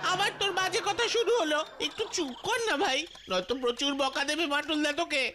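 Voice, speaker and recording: A woman voicing an earnest, bossy young boy sidekick in a cartoon, eager and quick to scold. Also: high-pitched, animated, noisy background. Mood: exasperated. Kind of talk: Bengali cartoon voice acting.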